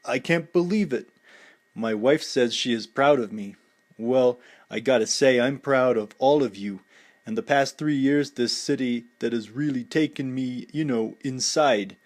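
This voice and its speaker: A man voicing a humble, plainspoken male boxing champion who talks in a halting way. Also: medium pitch, lightly acted.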